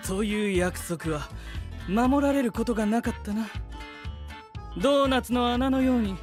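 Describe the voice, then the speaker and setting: Cool young anime drama knight. Logical, cynical, deadpan, formal delivery, music behind. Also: male voice, very high voice, moderate pace. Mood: detached. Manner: stiff, analytical, deadpan phrasing. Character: coolly logical, cynical young fantasy knight, scientific observer. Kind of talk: anime audio drama acting.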